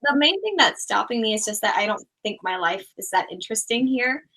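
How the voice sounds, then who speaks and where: Young woman, raised in Thailand, casual rambly guest, vocal fry throughout. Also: a little high, fairly quick. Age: young adult. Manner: relaxed, rambling, many "like" and "um" fillers. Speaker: guest, small YouTuber. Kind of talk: casual interview chat.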